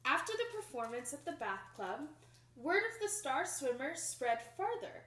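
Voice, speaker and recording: A young adult woman reading a picture book aloud to children in an expressive, emphatic storytelling manner. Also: sits high, moderate pace. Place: small plain indoor room. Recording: roomy indoor echo.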